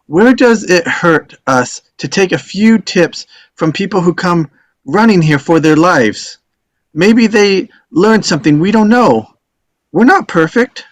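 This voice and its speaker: A man's voice: high-pitched, animated, measured pace. A man in a drama table read playing a fair-minded, earnest juror with a plain-spoken delivery. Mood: earnest protest.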